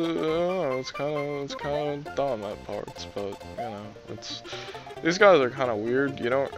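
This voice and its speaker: A young man giving casual, rambling commentary over his gameplay, wry and self-deprecating, his voice strained from talking a long time. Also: mid-range voice. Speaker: let's play commentator.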